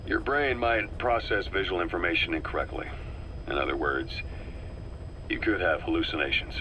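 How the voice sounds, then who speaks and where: An actor playing a calm, middle-aged spy and military advisor in a video game, speaking in a measured, knowing way with deliberate pauses. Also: male voice, sits low, slight background noise, poor audio. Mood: attentive, matter-of-fact. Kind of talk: dramatic scripted briefing and dialogue in a video game.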